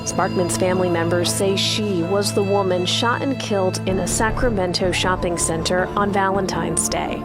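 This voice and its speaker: A woman's voice: on the low side, steady tone, normal speed, hardly any pauses. A woman reporter reading a scripted television news package. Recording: news clip, loud music and crowd.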